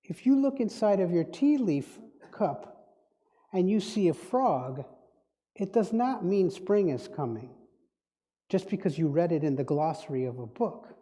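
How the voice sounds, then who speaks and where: Slow, dark-voiced man. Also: high voice, animated. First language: Dutch. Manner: reflective, musing, circles back on words. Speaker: guest speaker. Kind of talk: spiritual talk. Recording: echoey hall reverb.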